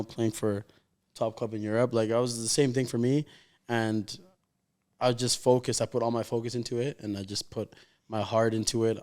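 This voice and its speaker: A man's voice: lowish voice, darkish tone. A man, chatting casually and earnestly in an interview, with informal slang and lots of "like". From raised in Calgary, Canada. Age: 20s. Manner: informal, slangy, heartfelt, heavy use of "like". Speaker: professional footballer, interview guest.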